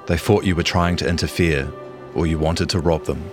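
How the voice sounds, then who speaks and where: Very deep-voiced New Zealand man, journalist. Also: fairly even intonation, moderate pace, over music.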